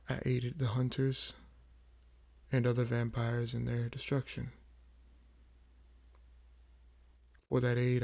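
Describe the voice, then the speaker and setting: A man as a gravelly, brooding vampire. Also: medium pitch, warm tone. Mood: cold, reflective. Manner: measured, brooding, pausing often. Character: weary, proud old vampire with a buried past. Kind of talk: slow dramatic audio roleplay monologue.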